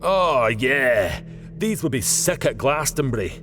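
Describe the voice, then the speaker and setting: A man's voice: mid-range voice, big pitch swings, measured pace, a bit bright, soft background music, voice pushed up. A man voicing an eager, cheeky young man in an audiobook, casual and playful. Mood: excited. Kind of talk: audiobook character voice.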